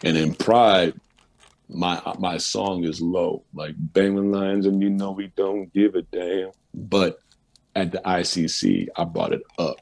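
A man, a musician guest, telling stories in a loose, profane, animated way on a casual talk show. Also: low-pitched, slow, vocal fry. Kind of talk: casual storytelling interview.

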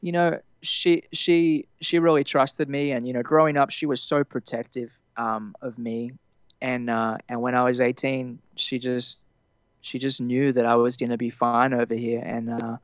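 Australian man with a softened accent. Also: mid-range voice, flat delivery, normal speed, clear, resonant tone, dry recording, muffled recording. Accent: Australian, partly Americanized. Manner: rambling, many fillers and restarts, laughs easily. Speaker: musician guest. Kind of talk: casual interview chat.

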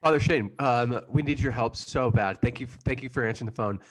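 A man performing in an improvised comedy scene, talking excitably. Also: mid-range voice, fairly quick, breathy edge, rough recording. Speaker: improv comedy cast member.

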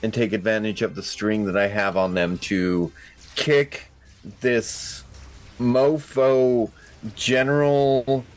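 A man's voice: lowish voice, little pitch movement, slow. A man playing a tabletop roleplaying game, chatting casually and swearing freely.

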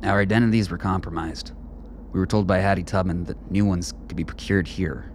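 A man playing a quiet, formal military sergeant in a radio play, polite, terse and guarded. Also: low voice, fairly even intonation, brisk, a little hiss or hum, natural voice.

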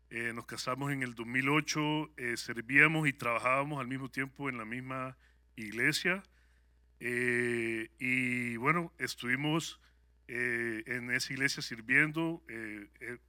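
Bright-voiced Salvadoran man giving testimony. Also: mid-range voice, dry recording, clean studio sound. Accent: Salvadoran Spanish. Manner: conversational and upbeat, with frequent hesitant fillers. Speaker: guest speaker at a church service. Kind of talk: personal testimony to a congregation.

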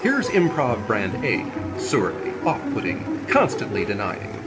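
Over-the-top boastful commercial announcer, salesy parody spot, wildly swinging pitch over loud music. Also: male voice, wildly swooping pitch, unhurried.

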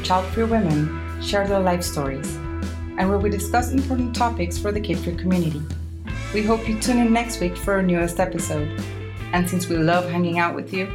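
A woman in her thirties or older giving a personal, reflective podcast monologue with emphatic, repeated phrasing. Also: on the low side. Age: 30s or older. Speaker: podcast host.

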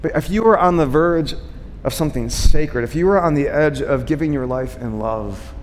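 A man's voice: a little high, normal speed, a little hiss or hum. A man preaching a conversational sermon, using rhetorical questions, repeated phrases and frequent check-ins with his listeners. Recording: long hall reverb.

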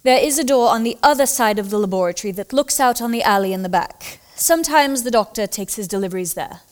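A deferential Victorian servant, acted by a woman, with a high voice. She is polite and helpful, in the style of a period audio drama. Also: expressive, fast, natural voice. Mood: puzzled, helpful.

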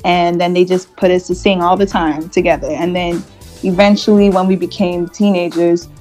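A woman's voice: lowish voice, level tone, moderate pace, darkish tone, faint music behind. A woman in her twenties from Montreal with a Canadian accent, chatting candidly and casually as an interview guest. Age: 20s. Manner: chatty, candid, laughs easily. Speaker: interview guest, gospel singer.

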